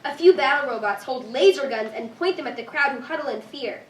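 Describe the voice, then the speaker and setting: Woman reading out script directions, highish voice, echoey room, mic far away. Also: lively intonation, fairly quick. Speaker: narrator reading stage directions. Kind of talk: scripted narration at a staged reading. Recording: distant echoey room, faint noise.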